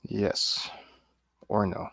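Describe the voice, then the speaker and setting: A man from Ireland in a casual review chat, giving terse, deadpan replies as co-host. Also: drawn-out words, no room sound. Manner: terse, deadpan, dry one-word answers. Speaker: co-host of a film review show.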